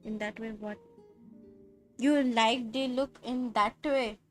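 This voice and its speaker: A woman's voice: a little high, fairly even intonation, slow, soft background music. A woman with an Indian accent giving casual game livestream commentary, slangy, teasing and joking as she reacts.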